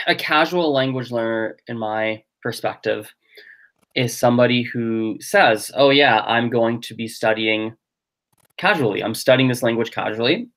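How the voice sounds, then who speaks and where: A man's voice: mid-range voice, fairly bright tone, poor audio. A young adult man whose first language is Gujarati, chatting as a guest in a casual interview, thinking aloud with lots of fillers. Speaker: guest language teacher and podcaster.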